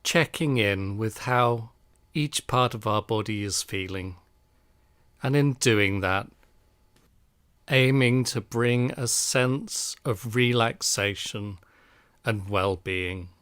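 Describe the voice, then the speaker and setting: A man guiding a relaxation meditation in a calm, soothing, unhurried manner. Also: fairly low pitch, clean tone, dry recording. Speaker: meditation guide.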